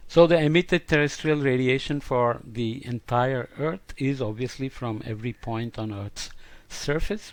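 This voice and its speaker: A man lecturing in an explanatory, podcast-style science lesson, thinking aloud as he works through each step with some hesitation. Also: mid-range voice.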